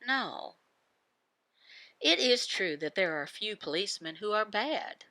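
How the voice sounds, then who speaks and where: A woman giving a devotional Bible teaching in short, halting phrases, often asking questions and answering them herself. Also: mid-range voice, expressive, pushed and bright, no echo. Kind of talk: devotional teaching voicing a signed sermon.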